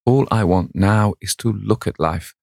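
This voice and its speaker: A man's voice: on the low side, a little slow, creaky, darkish tone, no echo, clean studio sound. A man voicing an urbane, languid and witty aristocrat in a storybook reading. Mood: suave, amused.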